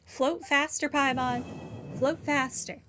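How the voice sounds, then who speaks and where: A woman's voice: sits high, fairly even intonation, takes it slowly, sharp tone, soft background music. A woman commenting casually on a video game and reading its text aloud. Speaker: gamer playing and commenting.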